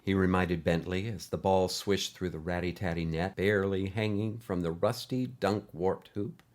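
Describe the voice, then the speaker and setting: A man narrating a scripted short story as a host, with expressive, animated delivery. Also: fairly low pitch.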